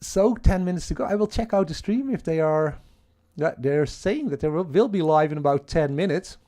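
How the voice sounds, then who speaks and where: A man's voice: a little high, animated, normal speed, warm tone. A man with a Dutch accent hosting a casual livestream, rambling with frequent restarts and questions to the chat. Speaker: livestream host.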